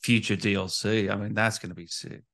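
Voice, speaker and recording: Low-pitched man, casual interviewer. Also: steady tone, a little fast, fairly bright tone. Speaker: interviewer, gaming channel host.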